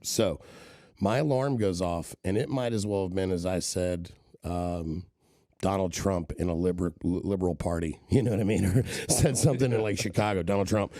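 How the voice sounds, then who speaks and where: A man's voice: low-pitched, normal speed, warm tone, no echo, clean studio sound. A middle-aged man telling long, rambling stories as a podcast guest, with many "right?" tags. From Kenya and the southern US. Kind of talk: casual storytelling interview.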